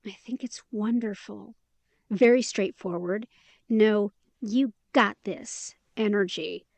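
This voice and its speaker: An actress playing a caring, candid young woman in an intimate audio drama, speaking in a casual, gently encouraging way. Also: medium pitch, slow pace, vocal fry, dry recording. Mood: sincere.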